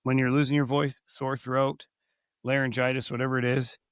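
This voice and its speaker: A man co-hosting a podcast, hoarse from recently losing his voice, chatting casually and rambling through many restarts. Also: mid-range voice, flat delivery, normal speed, no room sound, studio quality. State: hoarse, recovering from lost voice. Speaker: podcast co-host.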